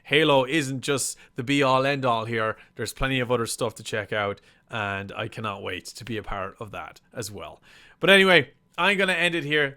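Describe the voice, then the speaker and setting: Casting: male reaction host, bright and smooth-toned, casual, excitable, prone to swearing. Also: mid-range voice. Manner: hyped, sweary, exclamatory. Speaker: reaction video host and game streamer.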